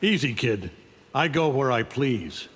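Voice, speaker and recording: A man's voice: medium pitch, big pitch swings, a little slow, fairly bright tone, slightly rough, long reverb tail, heavily acted. A man voicing an arrogant, menacing alien conqueror in a video game, with a contemptuous, commanding manner. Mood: taunting. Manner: contemptuous, taunting, coldly commanding. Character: arrogant, menacing alien conqueror, a middle-aged superhuman father. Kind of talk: video game voice acting, fight intro taunts.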